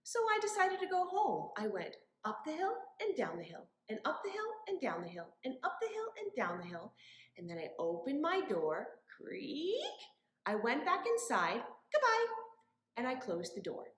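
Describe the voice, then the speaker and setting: A woman's voice: high-pitched, huge pitch swings, moderate pace, fairly bright tone. A woman hosting a children's storytime as a librarian, leading rhymes and stories in an encouraging, sing-song way and speaking directly to the children. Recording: roomy, echoing indoor sound.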